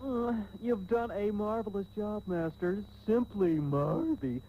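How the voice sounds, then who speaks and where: An actor playing a smarmy, genteel sea captain with affected politeness and villainous intent, in a comic radio serial on old tape. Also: male voice, sits high, lively intonation, slow, heavily acted. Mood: smooth, flattering. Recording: thin, dull old radio tape, faint hiss.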